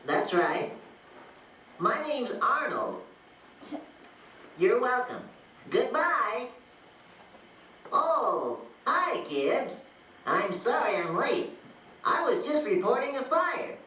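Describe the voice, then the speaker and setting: A woman's voice: a little high, fairly bright tone, a little hiss or hum, full character voice. A woman playing a cheerful, eager male puppet, a proud good citizen in a children's puppet show. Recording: very echoey, distant, music behind.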